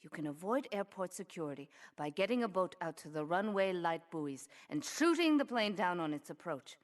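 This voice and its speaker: A woman's voice: lowish voice, animated, moderate pace, natural voice. An actress playing a cool, ruthless female crime boss in a video game, poised and matter-of-fact about violence. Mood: casually cruel. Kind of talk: scripted video game cutscene acting.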